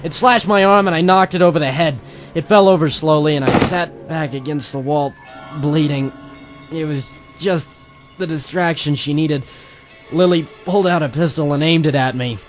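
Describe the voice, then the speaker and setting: A man's voice: fairly high pitch, moderate pace, close to an everyday voice. A man playing a weary, wry private detective, narrating and talking tersely in a noir radio drama. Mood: urgent. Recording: music playing behind the voice.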